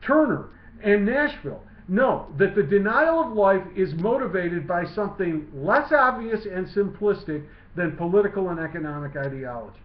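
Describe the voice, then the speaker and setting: A man reading his own literary essay aloud in a measured, declamatory way. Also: high-pitched, lively intonation. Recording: muffled lo-fi, roomy echo.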